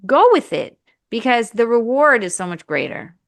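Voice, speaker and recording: A woman's voice: fairly high pitch, big pitch swings, normal speed, no echo. A woman podcast host and marriage coach, speaking earnestly and encouragingly over a video call. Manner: earnest, encouraging, repetitive exhortations. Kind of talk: reflective coaching talk.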